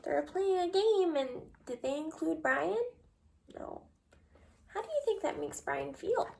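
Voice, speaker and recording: A woman reading a children's story aloud expressively and pausing to put guiding questions to young listeners. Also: extremely high pitch, expressive, creaky, darkish tone. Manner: slow, deliberate, asks guiding questions.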